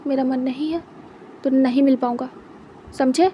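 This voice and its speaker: A woman voicing a hurt young man who answers quietly, curt and cold. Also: sits high, fairly even intonation, moderate pace, highs cut off, a little hiss or hum, lightly acted.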